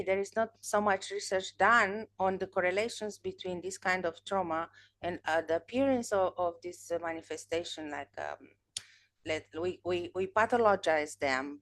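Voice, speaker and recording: A woman in her thirties, whose native language is Romanian, hosts a podcast and talks with a guest over a webcam video call from a room at home. Her voice sits on the low side, and she speaks earnestly, often restarting her sentences. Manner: thoughtful, earnest, with frequent restarts. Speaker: podcast host. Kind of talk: casual interview chat.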